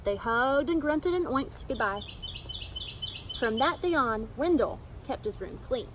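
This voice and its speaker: High, lively woman reading a children's storybook. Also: a little slow, background noise. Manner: animated, emphatic storytelling with acted dialogue. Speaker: storybook reader. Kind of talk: expressive read-aloud of a children's picture book.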